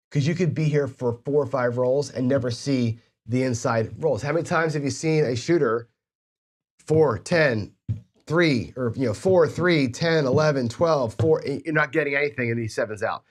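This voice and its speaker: A man talking through a table-game strategy while fighting a cold, his nose stuffy and his voice a little weak. He speaks at an ordinary middle pitch and keeps it conversational, tossing in quick "right?" check-ins as he walks through each step. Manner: conversational, frequent tag questions "right?". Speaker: host of a casino gambling channel. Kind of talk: explanatory strategy tutorial.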